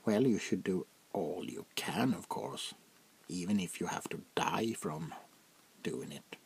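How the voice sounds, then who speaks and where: A man as a slow, gravelly, whispering vampire prince. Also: deep voice, lively intonation, heavily acted. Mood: condescending, menacing. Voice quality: gravelly, breathy half-whisper. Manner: slow, deliberate, condescending, drawn-out pauses. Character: haughty, menacing, centuries-old French vampire prince, softly imperious. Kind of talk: soft-spoken ASMR roleplay, half-whispered.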